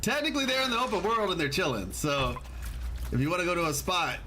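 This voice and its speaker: A man giving casual gameplay commentary as a streamer, rambling and thinking out loud. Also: high voice, animated, fast talker, slight background noise, low-quality recording. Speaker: game streamer.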